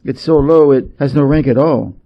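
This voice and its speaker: A man's voice: mid-range voice, moderate pace, close to an everyday voice. A man playing a knowing, frank stable assistant in a storybook reading, explaining plainly, on a slightly muffled recording. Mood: blunt. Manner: matter-of-fact, explanatory. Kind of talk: storybook character voice.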